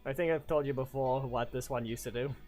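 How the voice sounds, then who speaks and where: A man's voice: mid-range voice, brisk, soft background music. A man giving casual live commentary on a fighting game, calling out moves and mocking opponents. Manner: excitable, calls out moves and trash-talks opponents. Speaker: gaming channel host.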